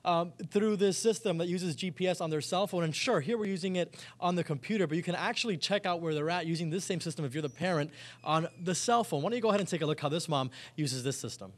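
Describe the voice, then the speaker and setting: A male reporter presents live on location with a slightly high-pitched voice and a very quick pace. His delivery is enthusiastic, informal and chatty, as in an upbeat morning news segment.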